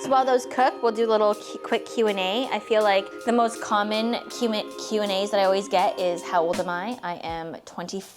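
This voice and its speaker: Fast-talking chatty woman in her twenties. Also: medium pitch, steady tone, over music. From Canada. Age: 20s. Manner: rambling, heavy use of "like"; talks with her hands. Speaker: vlogger. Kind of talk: casual chatty vlog while baking.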